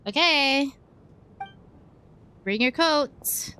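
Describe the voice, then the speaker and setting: Girl streamer reacting to a game, bright highish voice, super bouncy and playful. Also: expressive, extremely slow. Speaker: livestreamer playing a game. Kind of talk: casual gameplay reactions.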